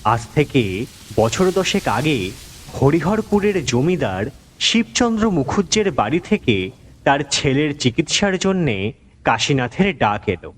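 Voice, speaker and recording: Slow, expressive male story narrator. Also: fairly high pitch, a little hiss or hum. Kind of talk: scripted audio story narration. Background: background music.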